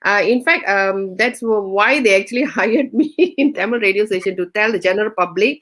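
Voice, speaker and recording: A woman's voice: mid-range voice, quick pace, a bit bright. A woman who works as a radio presenter, chatting in a bubbly way with frequent laughter. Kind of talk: casual video-call chat.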